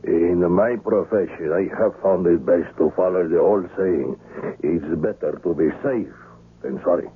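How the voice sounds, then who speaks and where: Husky, courteous underworld host. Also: male voice, low-pitched, exaggerated voice. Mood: suave, probing. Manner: formal, courteous, measured speech. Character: suave, wary, courteous older male underworld host named Messina. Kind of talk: 1970s radio drama acting. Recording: muffled, tinny vintage radio recording.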